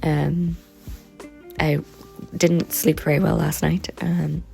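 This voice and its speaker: Woman, low and gravelly, a little hoarse, relaxed chatty vlogger. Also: slow, faint music behind. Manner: relaxed and rambling, with frequent ums. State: slightly hoarse and croaky. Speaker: vlogger and sticker and journal maker. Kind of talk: casual chatty vlog.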